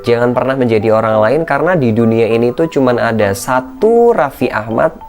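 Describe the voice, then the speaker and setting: A man with a thin, shrill voice, giving an informal, encouraging educational talk as a content creator, slipping in English phrases. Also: expressive, brisk, darkish tone, soft background music. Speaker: content creator and public speaking coach. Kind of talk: casual motivational educational vlog talk.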